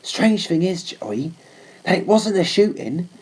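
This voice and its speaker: A man voicing a gentle, nervous young cavalry trooper in a storybook, with a halting, confiding way of talking. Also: sits high, very animated, slightly rough, voice pushed up, exaggerated voice. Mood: earnest confiding. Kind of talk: audiobook character voice.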